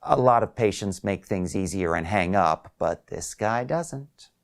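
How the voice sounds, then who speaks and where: A man's voice: low voice, lively intonation, a little slow, vocal fry. A man reading his own short story as a scripted first-person narration.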